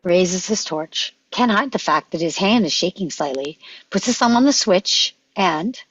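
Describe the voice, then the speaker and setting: A woman's voice: lowish voice, expressive, a little slow, slightly rough. A woman reading stage directions as dramatic scripted narration at a table read. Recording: dull, dry lo-fi microphone.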